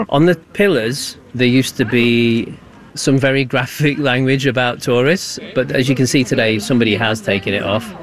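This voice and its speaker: A man's voice: medium pitch, normal speed. A man who is a local resident, telling stories in a wry, chatty way. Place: outdoors on a residential street.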